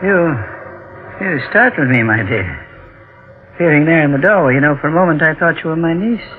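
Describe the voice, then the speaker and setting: A man playing a courtly, sinister elderly gentleman in 1940s radio suspense, courteous and insinuating. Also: on the high side, expressive, fairly quick, music in the background, natural voice. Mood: smooth menace. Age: older. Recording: muffled, noisy old radio recording.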